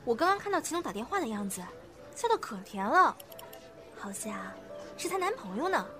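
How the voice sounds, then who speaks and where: An actress playing a chirpy, gossipy young office worker in an audio drama. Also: female voice, sits high, big pitch swings, fairly quick, music in the background. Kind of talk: Chinese amateur audio drama acting.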